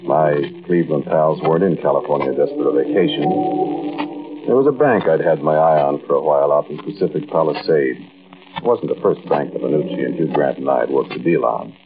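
A man's voice: very deep voice, fairly quick. An actor playing a world-weary American bank robber telling his tale in a colloquial, rambling 1940s radio style. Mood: wry, reminiscing. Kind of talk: 1940s radio acting, first-person narration. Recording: scratchy narrow-band old-time radio recording.

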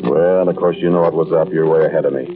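Deep-voiced American crook, old radio. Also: male voice, fairly even intonation, quick pace, loud music behind the voice. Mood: wry, reminiscing. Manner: colloquial, rambling, offhand. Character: world-weary male American bank robber telling his tale. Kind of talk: 1940s radio acting, first-person narration. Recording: scratchy narrow-band old-time radio recording.

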